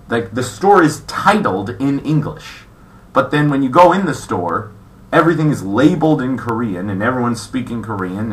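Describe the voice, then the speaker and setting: Lively, bright-voiced young man vlogging. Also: medium pitch. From California, USA. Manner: rambling, with many fillers and restarts. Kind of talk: casual complaining monologue. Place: apartment in South Korea.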